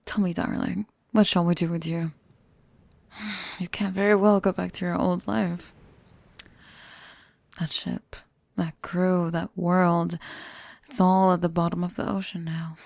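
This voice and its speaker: A woman acts out a gothic female pirate captain in a scripted ASMR roleplay. She is playful, possessive and casually ruthless, slipping between talk and whispers. Her voice sits low with plenty of fry, recorded very close and dry. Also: flat delivery, slow pace, pauses often, darkish tone, no room sound. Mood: smug, velvety. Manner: teasing, amused, casually ruthless, often breaking into whispers.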